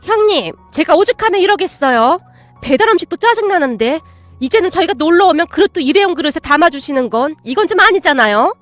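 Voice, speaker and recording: A woman voicing a rude, whiny aunt by marriage who talks in a shrill, indignant tone. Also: expressive, quick pace, dry recording, soft background music. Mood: sneering.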